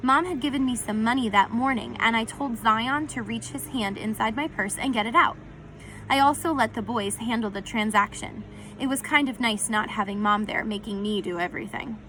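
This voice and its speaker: Bright-voiced woman reading a story aloud. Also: on the high side, moderate pace, a little hiss or hum, rough recording. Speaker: read-aloud narrator. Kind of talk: expressive storybook narration in first person.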